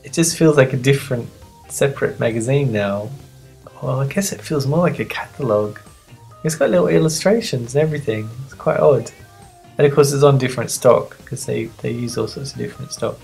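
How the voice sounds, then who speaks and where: A sniffly magazine reviewer of medium-pitched voice chatting casually off the cuff, rambling, playful and self-deprecating. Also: brisk, vocal fry, darkish tone, breathy edge, faint music behind. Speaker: magazine reviewer and video host.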